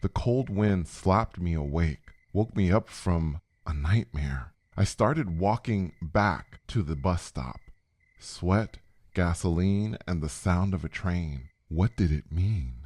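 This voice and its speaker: A man's voice: low voice, lively intonation, slow pace, a little mellow, dry recording. A man narrating a suspenseful first-person story in short, dramatic phrases, as a scripted storyteller.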